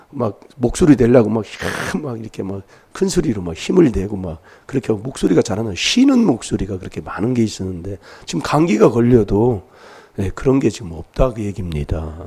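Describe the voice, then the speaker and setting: Man, pastor, has a cold, emphatic church sermon, mellow dark tone, very lively pitch. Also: gravelly, husky. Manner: emphatic, prodding the congregation with rhetorical questions.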